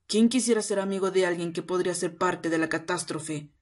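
A woman's voice: fairly low pitch, brisk, darkish tone, close to an everyday voice. A woman playing a cold, sarcastic and threatening young man in an amateur roleplay audio drama. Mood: bitter.

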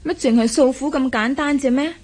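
High-voiced, probing mother played by an actress. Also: a little fast, a little mellow, close to an everyday voice. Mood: teasing, probing. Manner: coaxing, knowing, inquisitive. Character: caring, probing mother sharing secrets with daughter. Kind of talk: Cantonese radio drama acting.

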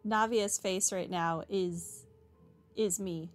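A woman's voice: lowish voice, steady tone, slow pace. A woman giving casual playthrough commentary as a game streamer. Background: game music.

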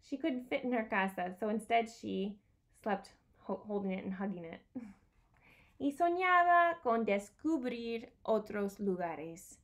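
A woman's voice: mid-range voice, animated, slow pace. A woman in her thirties presenting a bilingual picture-book storytime for young children, expressively explaining and repeating key words. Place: small home room with bookshelves, indoors.

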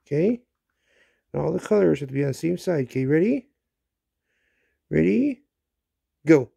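A pet owner with a very gravelly, creaky and deeply mellow voice talks casually to a dog. The phrases repeat as coaxing questions and baby talk, with lively swings in pitch. It is a dull, lo-fi phone recording in a small bedroom. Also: normal speed, no room sound, studio quality. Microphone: handheld phone held near the speaker.

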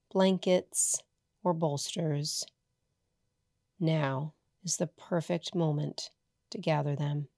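Woman doing guided meditation, super slow n soothing, soft mellow voice, really dry close sound. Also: sits low, dry recording. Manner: soothing, hushed, measured delivery with long pauses. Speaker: yoga nidra meditation guide.

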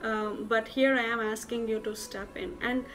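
A woman's voice: on the high side, fairly even intonation, moderate pace, fairly bright tone, rough recording. A young woman sharing a Bible teaching to camera in an earnest, informal way with many fillers, in an indoor room. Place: indoor room with plain walls.

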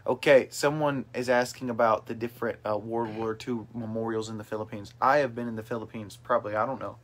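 A man's voice: fairly low pitch, steady tone, a little fast. A man in his late twenties hosting a casual family livestream, reading out viewer comments in an upbeat way, filmed on a phone held at arm's length in a small bedroom. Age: 20s. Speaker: host of a family livestream chat. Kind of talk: casual chat. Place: small bedroom with brothers on a couch. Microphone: phone camera held at arm's length.

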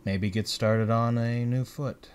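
A man playing a gruff, weary but decent police officer in a comic book fan dub, dry and plainspoken. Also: medium pitch, fairly even intonation, takes it slowly, darkish tone, close to an everyday voice. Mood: tentative, kindly.

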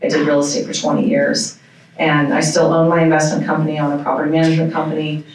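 A woman in her forties, a company executive on a panel, speaking in a steady, businesslike way into a handheld mic. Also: deep voice, quick pace. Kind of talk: panel self-introduction. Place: conference room panel. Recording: very echoey room, distant pickup.

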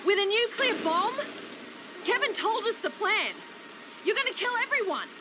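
A woman's voice: extremely high pitch, very animated, normal speed, background noise. An actress playing a bold, accusing woman survivor in a video game cutscene, taunting and confrontational.

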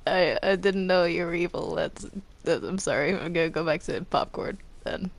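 Woman gamer, slightly low creaky voice, unhurried, halting and repetitive, casual roleplay chatter. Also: steady tone. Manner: hesitant, stammering, repeats words. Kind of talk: casual improvised gameplay chat.

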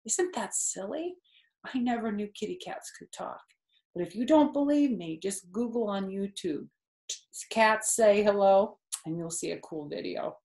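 A woman's voice: mid-range voice, animated, moderate pace, creaky, dark tone. A middle-aged woman reading a children's book aloud and explaining it simply to young listeners. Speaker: storytime host. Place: small furnished room at home, indoors. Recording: roomy, echoey home recording.

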